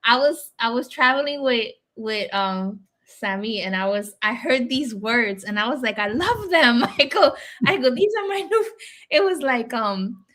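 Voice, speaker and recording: A woman hosting an interview chat, playful and quick to react with short listening sounds. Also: on the high side, lively intonation, fairly bright tone. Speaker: podcast host.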